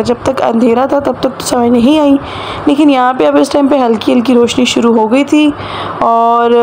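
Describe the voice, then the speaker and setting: A woman in her thirties with a Pakistani Urdu accent, narrating her road-trip vlog in a chatty voice-over, her voice hoarse from a sore throat, and repeating phrases for emphasis. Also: high voice, darkish tone, a little hiss or hum, low-quality recording. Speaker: travel vlogger.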